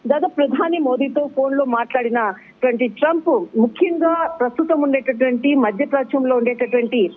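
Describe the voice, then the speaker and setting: Tinny telephone audio, male news reporter, very high pitch, wordy, halting, repetitive live report. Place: on a phone call into a newscast. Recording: tinny narrowband phone line.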